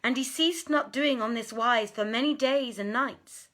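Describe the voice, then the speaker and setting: A woman reading a literary tale aloud as narrator, with formal, theatrical delivery and deliberate phrasing. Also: on the high side, a bit bright. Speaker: narrator, reader. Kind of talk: dramatic literary storytelling.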